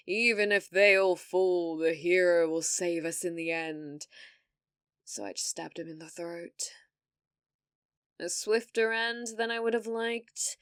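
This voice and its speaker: A woman's voice: lowish voice, no room sound, clean studio sound. A British woman playing a cruel, domineering villainess in an ASMR fantasy roleplay, taunting theatrically and slipping into whispers. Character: cruel, domineering female villainess sorceress, gloating and possessive.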